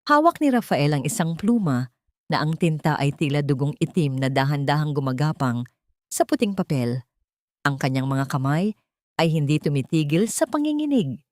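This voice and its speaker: A woman telling a dramatic love story in scripted narration. Her voice sits low and her reading is measured and steady. The recording is close and dry. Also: dry recording.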